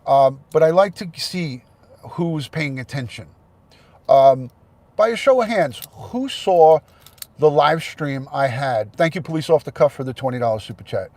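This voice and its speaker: A man's voice: on the high side, animated, measured pace, a little mellow, no echo. A man hosting a casual livestream, congested with a head cold, chatting and thanking viewers by name. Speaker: livestream host.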